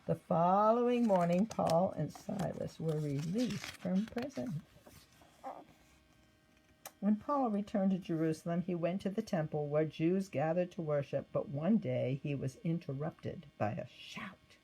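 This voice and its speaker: A woman reading a scripted children's Bible story aloud in an expressive manner. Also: fairly low pitch, measured pace, gravelly, slight background noise, rough recording. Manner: expressive read-aloud with acted quotes. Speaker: storybook reader.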